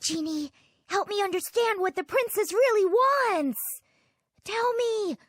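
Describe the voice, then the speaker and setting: Excitable, very high-pitched little boy. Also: unhurried, dry recording, clean studio sound, full character voice. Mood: curious, earnest. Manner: exclaiming, expressive, hesitant. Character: earnest, excitable young boy adventurer. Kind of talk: children's animated fairy tale acting.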